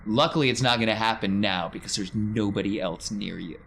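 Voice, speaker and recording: A man's voice: fairly low pitch, brisk, gravelly, fairly bright tone, a little hiss or hum. A man working as game master, narrating improvised scenes in a conversational, descriptive way.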